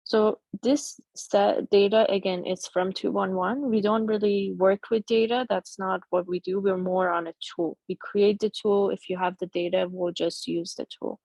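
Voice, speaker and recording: A middle-aged woman whose first language is Persian, casually walking through a software demo on a video call with many fillers and restarts. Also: mid-range voice, steady tone, moderate pace, gravelly, few pauses, no echo. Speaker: presenter demoing a chatbot tool.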